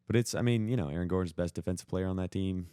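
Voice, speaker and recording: Fast-talking, very deep-voiced young American man. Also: dark tone, clear, resonant tone, no echo, clean studio sound. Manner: opinionated, emphatic, slangy. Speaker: podcast co-host. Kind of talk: casual sports chat.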